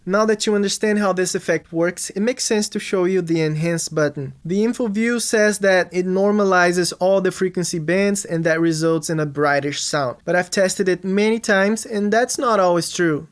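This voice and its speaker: Man narrating a step-by-step software tutorial. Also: high voice, moderate pace, hardly any pauses, clear, resonant tone. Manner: explanatory, step-by-step. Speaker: music production tutorial host.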